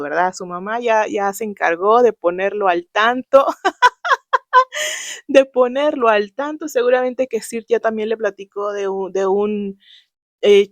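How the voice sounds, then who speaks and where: A woman's voice: mid-range voice, dry recording, clean studio sound. A Mexican woman telling and commenting on a story in a chatty, slangy way, often laughing.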